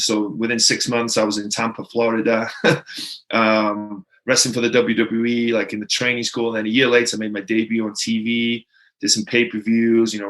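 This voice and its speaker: A man's voice: lowish voice, pushed and bright, low-quality recording. A man from Wales with a Welsh accent, a native Welsh speaker, telling his story as a podcast guest in a rambling, casual way full of "like" and "right?". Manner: rambling, filler-heavy, frequent "right?" and "like".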